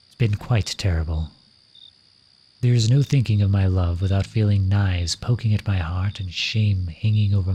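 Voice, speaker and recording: Man playing a low-voiced lovesick courtly royal, crickets behind. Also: darkish tone, a little hiss or hum, lightly acted. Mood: lovesick, aching. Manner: formal, courtly, flowery phrasing. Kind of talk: ASMR romantic roleplay, addressing the listener.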